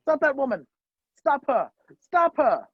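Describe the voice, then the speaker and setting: A man playing a determined, wrongly accused gentleman on the run in an amateur radio play, over a video call. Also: very high-pitched, big pitch swings, measured pace, no room sound, heavily acted. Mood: urgent. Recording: dull, lo-fi video-call audio.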